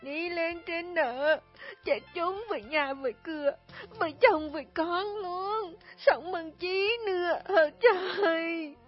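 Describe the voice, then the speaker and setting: Woman doing old peasant widow, wailing, sobbing, very high, dull lo-fi sound. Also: slow, soft background music. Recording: dull lo-fi recording.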